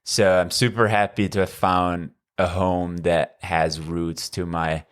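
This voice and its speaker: A man in his thirties from Northern Italy, speaking English with an Italian accent as a podcast guest, measured and full of fillers. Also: low-pitched, level tone, clean tone. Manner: measured, with frequent fillers and restarts. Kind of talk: conversational interview.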